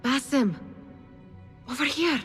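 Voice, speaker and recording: A woman's voice: sits high, slow, fairly bright tone. A woman playing a mysterious, beckoning figure in a video game cutscene. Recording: long reverb, music behind.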